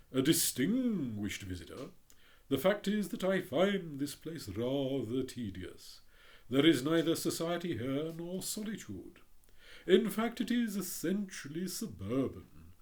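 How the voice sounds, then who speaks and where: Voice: medium pitch, voice pushed up. Pompous firework, as a reader puts him on. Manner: pompous, drawn-out and grand. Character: haughty conceited male firework rocket. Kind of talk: storybook reading.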